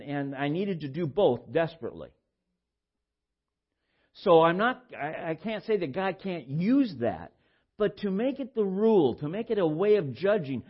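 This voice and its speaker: Older baritone man preaching, slightly muffled recording. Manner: halting, repeats words, conversational. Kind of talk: informal sermon with personal anecdotes.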